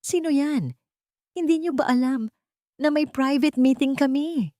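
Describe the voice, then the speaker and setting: Woman acting a haughty, scheming wife, pitched up. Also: unhurried, no room sound, studio quality. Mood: haughty outrage. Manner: sharp, commanding, bossy.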